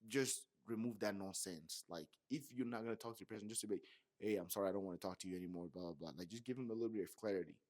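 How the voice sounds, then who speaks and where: A young man from Nigeria with a Yoruba accent, telling a personal story to camera in a slangy, animated way with many fillers. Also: lowish voice, racing. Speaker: vlogger. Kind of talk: casual monologue to camera.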